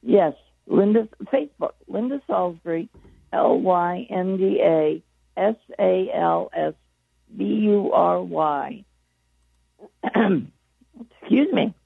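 A hoarse woman, her voice going, chats in a rambling and self-deprecating way as a gardening guest. Her voice comes through gravelly and husky. The call sounds dry and tinny, like a phone line. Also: on the low side, slow pace, pauses often, dry recording. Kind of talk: casual call-in chat.

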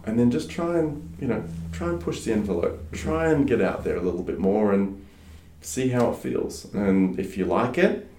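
A low-voiced man from Australia whose first language is French, speaking as an interview guest. He talks in a relaxed way, with many fillers and restarts. The room around him adds a noticeable echo. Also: moderate pace, a little hiss or hum. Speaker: guest, startup advisor. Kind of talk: casual interview conversation. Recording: roomy echo.

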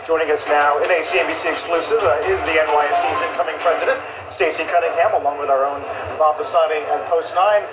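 High-voiced male news anchor, echoey. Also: steady tone, quick pace. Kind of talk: broadcast news interview.

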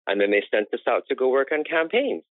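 A man's voice: medium pitch, sing-song, quick pace, no echo. A man being interviewed about his life and work as an interior designer, telling stories at length and laughing often. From United States. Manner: chatty storyteller who laughs often. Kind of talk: conversational interview. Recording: tinny phone-like line, dry.

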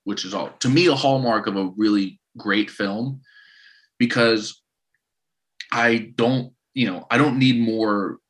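A man in his thirties co-hosting a casual podcast, telling stories in an animated, rambling way and often restarting his sentences. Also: on the low side, a little slow. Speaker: podcast co-host.

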